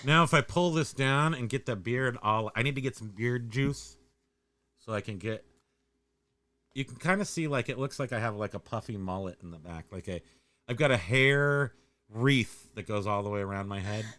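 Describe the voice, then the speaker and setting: A man's voice: mid-range voice, expressive, moderate pace, a bit bright, dry recording. A man co-hosting a livestream, chatting casually in a goofy, teasing, playful way. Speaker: co-host of a livestream. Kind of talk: casual joking livestream chat.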